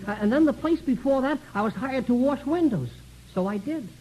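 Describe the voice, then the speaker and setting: A man's voice: very high voice, lively intonation, a little fast, dark tone, slightly rough, heavily acted, low-quality recording. An actor playing a talkative, down-on-his-luck American janitor and father in early 1950s radio comedy-drama, rambling, stammering and self-deprecating. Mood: wry, sheepish.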